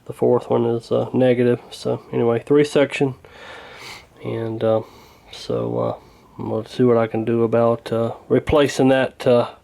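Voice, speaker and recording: A man's voice: medium pitch, lively intonation, unhurried, vocal fry, mellow. A man talking casually and hesitantly through a hands-on repair, in a folksy, unscripted way. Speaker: radio repair hobbyist, presenter.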